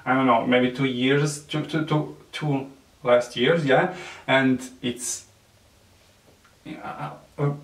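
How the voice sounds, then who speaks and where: A man with a Serbian accent, whose first language is Serbian, gives a makeup tutorial in halting English with many fillers and soft nervous laughs. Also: mid-range voice, fairly even intonation. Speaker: beauty vlogger. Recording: echoey room, mic at a distance.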